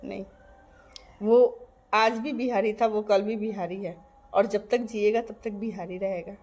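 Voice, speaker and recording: Woman vlogger from Bihar, earnest and emphatic monologue to camera, average pitch. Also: fairly even intonation, measured pace, a little mellow. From Bihar, India. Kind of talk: casual direct-to-camera monologue.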